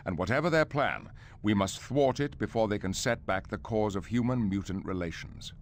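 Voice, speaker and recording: A man playing a calm older mentor of a hero academy in a game cutscene, speaking formally and with authority. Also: lowish voice, normal speed, a little hiss or hum, lightly acted. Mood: grave. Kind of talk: video game cutscene acting. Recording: music behind the voice.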